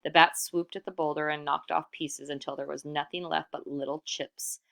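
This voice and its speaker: A woman reading a storybook aloud in expressive narration, animated and putting questions to the listener. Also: low voice, moderate pace, vocal fry. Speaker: storybook reader.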